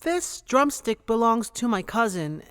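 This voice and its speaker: Slangy, high-voiced kid adventurer. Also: female voice, big pitch swings, measured pace, a bit bright, smooth. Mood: awkward, excited. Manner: slangy, exclamatory. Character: chatty, exclamatory young kid adventurer on a quest. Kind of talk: audio drama acting.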